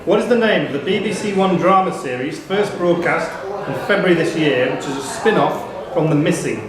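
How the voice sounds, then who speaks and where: A man's voice: fairly high pitch, a little fast. A man working as quiz master, reading out questions at a live pub quiz. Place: indoor pub quiz venue. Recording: echoey crowded pub room. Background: clear crowd chatter.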